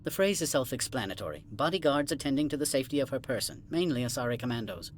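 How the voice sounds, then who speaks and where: A man's voice: medium pitch, expressive, quick pace, natural voice. Man voicing a haughty, curt alien administrator, noisy backdrop. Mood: guarded, formal. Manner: condescending, clipped, formal. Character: haughty, curt alien corporate administrator, male, dismissive of outsiders. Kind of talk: video game cutscene acting.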